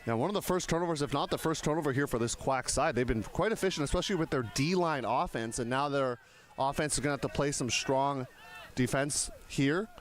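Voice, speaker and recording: A man in his thirties commentates on a live game. His voice sits at a middle pitch, and his delivery moves between describing the play and conversational explanation. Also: brisk. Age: 30s. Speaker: sports commentator.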